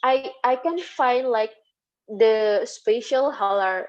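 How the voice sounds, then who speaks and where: Woman lecturer, Indonesian accent, Sundanese native. Unhurried, hesitant interview answers, slightly dull recording. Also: fairly high pitch. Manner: hesitant, halting, repeats words. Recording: dull, lo-fi audio.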